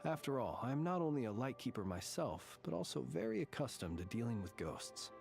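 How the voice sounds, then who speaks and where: An actor playing a solemn, courtly lighthouse warden who consoles ghosts in a video game, measured and eloquent. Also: male voice, mid-range voice, very animated, creaky, darkish tone, soft background music. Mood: composed, grave. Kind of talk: video game character acting.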